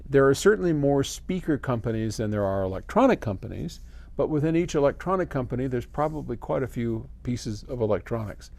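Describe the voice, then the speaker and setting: An older American man answering a viewer's question in a casual, folksy, conversational way with expressive emphasis. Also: mid-range voice, brisk, gravelly. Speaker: host, audio company head answering viewer questions.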